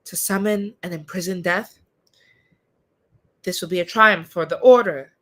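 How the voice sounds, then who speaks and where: A man's voice: high-pitched, unhurried, bright, forceful tone. A man playing an imperious older father who leads an occult order, speaking in a theatrical, commanding, incantatory way in an audio drama. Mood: triumphant, scheming.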